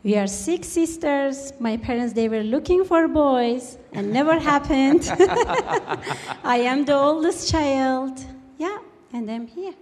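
A woman from Iran whose first language is Kurdish, speaking slow, careful English with a Kurdish accent as a guest on a church stage. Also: high voice, animated, a little mellow. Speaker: church leader. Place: large church hall. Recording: echoey church hall.

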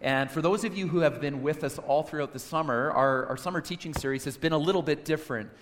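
A man's voice: on the high side, quick pace. A man who is a pastor, introducing guests and interviewing them on a church stage, often repeating words as he goes. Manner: warm, hesitant, repeats words. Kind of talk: sermon-style stage interview. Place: large church hall. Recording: echoey church hall.

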